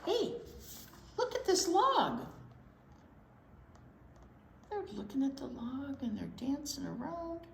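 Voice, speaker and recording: A woman's voice: high voice, big pitch swings, unhurried, gravelly. A woman reading a picture book aloud as a children's storytime host, playfully exclaiming and commenting on the pictures as she goes. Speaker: children's librarian, storytime reader. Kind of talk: animated picture-book read-aloud with chatty asides. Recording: roomy echo with some background noise.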